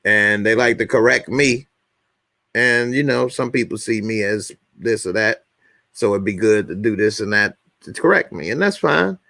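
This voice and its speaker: Joking man in his forties, low voice. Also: animated, moderate pace, gravelly, sharp tone, dry recording. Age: 40s. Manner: joking, repeats lines for emphasis. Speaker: YouTube show host. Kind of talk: casual chat to viewers.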